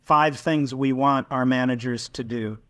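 A man's voice: medium pitch, steady tone, unhurried, a bit bright, no echo. A man in his sixties hosting a video call interview, talking in a rambling, conversational way with asides and restarts. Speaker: podcast host. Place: home office with bookshelves. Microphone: video call webcam.

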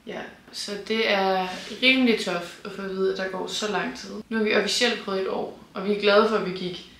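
A woman's voice: mid-range voice, steady tone, vocal fry, a bit bright, slight background noise. Young Danish-speaking woman in an echoey room. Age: 20s. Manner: open, frank, laughs lightly. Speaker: vlogger. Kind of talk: casual personal talk to camera. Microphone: camera on tripod, seated beside partner. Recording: roomy echo.

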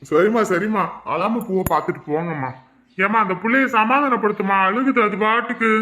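A woman playing a scolding but soft-hearted male park watchman in a story drama, admonishing and repeating the warnings. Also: medium pitch, brisk, close to an everyday voice. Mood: softening, placating. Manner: admonishing, repetitive. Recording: noticeable background noise, small clicks.

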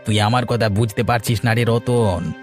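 A man's voice: fairly low pitch, steady tone, normal speed, soft background music, lightly acted. A man playing a greedy, scheming village uncle in a cartoon, coaxing slyly with hesitations and tag questions. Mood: sly, insinuating. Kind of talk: cartoon voice acting.

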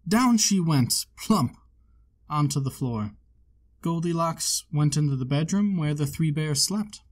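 Guy reading a kids picture book out loud, slightly high voice, measured storyteller delivery. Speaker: storybook reader. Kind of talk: children's storybook read-aloud.

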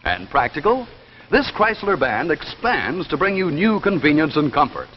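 A man's voice: on the high side, big pitch swings, moderate pace, long reverb tail, slight background noise. A young man giving a polished, salesmanlike scripted announcement in the style of 1950s television advertising. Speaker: announcer and commercial pitchman. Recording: dull 1950s television soundtrack with music.

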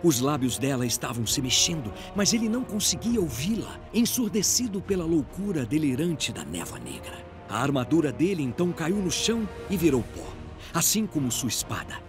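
Animated, higher-pitched Brazilian male narrator. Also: moderate pace, over music. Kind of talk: dramatic scripted story narration.

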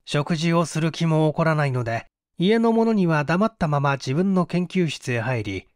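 A man's voice: on the high side, dry recording, clean studio sound. A man reading mystery fiction aloud as a dramatic scripted narration. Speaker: audiobook reader.

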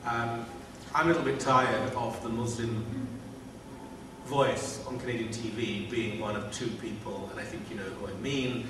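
A man's voice: mid-range voice, steady tone, moderate pace. Wry man in his fifties, echoey hall. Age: 50s. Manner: wry, self-deprecating, hesitant with fillers. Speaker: panel host. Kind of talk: joking panel introductions. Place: large lecture hall. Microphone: table mic, distant camera. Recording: echoey hall from far off, noisy.

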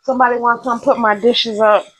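A woman in her thirties chatting casually as she cooks in her small home kitchen, relaxed and rambling, wearing a lapel mic. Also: on the high side, quick pace, husky, rough recording. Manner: relaxed, rambling, laughs to herself. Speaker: vlogger. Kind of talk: casual cook-along chat. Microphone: clip-on lapel mic.

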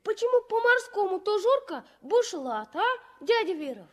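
A woman's voice: very high-pitched, sing-song, moderate pace. An actress playing an eager, curious little orphan girl with childlike wonder in a 1960s Soviet radio drama. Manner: childlike, echoing words with wonder.

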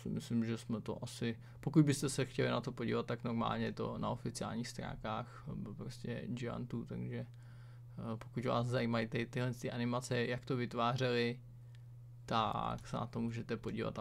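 A man's voice: medium pitch, level tone, creaky, a little hiss or hum. A man casually talking through a web page about a farming game, rambling with many fillers and slang. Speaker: gaming video creator. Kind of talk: casual screen-reading commentary.

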